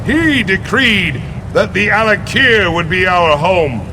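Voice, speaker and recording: Slow, declamatory warrior king. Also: high-pitched, expressive, noisy background, full character voice. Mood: rousing, defiant. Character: proud warrior king rallying his army. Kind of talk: video game character oratory.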